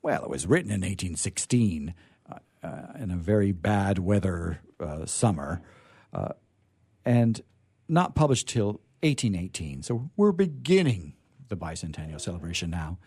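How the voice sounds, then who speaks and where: A man's voice: deep voice, big pitch swings, moderate pace, a little mellow, slightly rough. A man hosting a radio theatre reading, introducing it off the cuff and searching for his words as he goes. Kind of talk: unscripted introduction to listeners.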